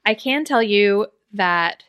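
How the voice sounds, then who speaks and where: A woman co-hosting a podcast in casual chat, her voice raspy from allergies, chatty and easily amused. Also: mid-range voice, expressive, slow, fairly bright tone, dry recording, clean studio sound. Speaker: podcast co-host.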